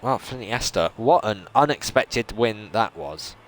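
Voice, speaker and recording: A man giving excitable live play-by-play commentary on an online racing series, rising sharply in pitch at the big moments. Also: unhurried, soft background music, poor audio. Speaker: lead race commentator.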